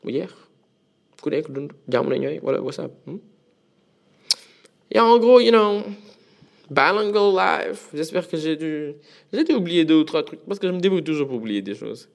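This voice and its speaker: A man's voice: a little high, lively intonation, moderate pace, vocal fry, pauses often. Man mixing French and English. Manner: switches between French and English mid-sentence; frequent restarts and repeated words. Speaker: podcast host. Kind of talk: casual solo monologue.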